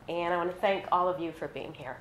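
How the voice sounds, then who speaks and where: A woman's voice: lowish voice, fast talker, a little hiss or hum. Echoey live reading by a woman author. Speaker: author reading her own short story. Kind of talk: literary reading of scripted fiction to an audience. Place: indoor hall at a literary reading. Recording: roomy, echoey live event recording.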